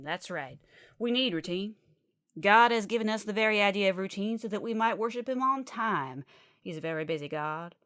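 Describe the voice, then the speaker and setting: A woman's voice: mid-range voice, a bit bright, no room sound, lightly acted. Zealous Southern female preacher, played by an actress, folksy, emphatic late-night radio sermonizer, dry studio sound.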